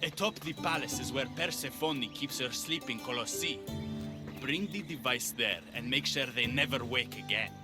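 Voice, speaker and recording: A man's voice: medium pitch, normal speed, loud music behind the voice, natural voice. A man playing an earnest, self-doubting rebel leader with a sorrowful streak in a video game. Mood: purposeful. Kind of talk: video game cutscene acting.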